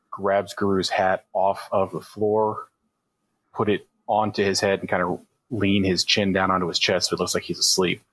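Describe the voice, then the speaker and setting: A man's voice: low voice, fairly bright tone, no echo. A man playing a tabletop game, describing his character's moves step by step in the first person.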